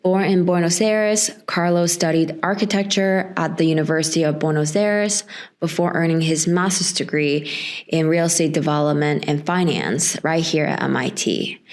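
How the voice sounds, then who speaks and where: A young woman hosts an interview podcast with a low, settled voice. She reads a prepared introduction first, then moves on to long questions for her guest. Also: darkish tone. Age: young adult. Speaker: podcast host, student interviewer.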